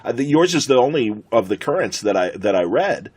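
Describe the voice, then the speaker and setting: A middle-aged man with an American accent and a husky voice, chatting conversationally as a podcast host. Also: mid-range voice, big pitch swings, brisk, darkish tone. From United States.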